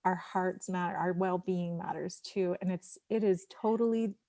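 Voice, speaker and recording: A woman with a fairly low voice talks in a casual podcast discussion. The sound is very dry, and her delivery is affirming and emphatic. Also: fairly even intonation, normal speed, creaky, mellow, dry recording.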